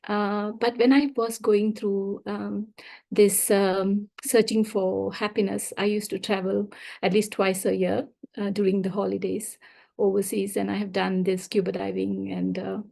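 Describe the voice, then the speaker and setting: A woman from Singapore telling her personal story as a guest speaker, hesitant, with frequent ums and pauses. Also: mid-range voice, little pitch movement, vocal fry, a little mellow.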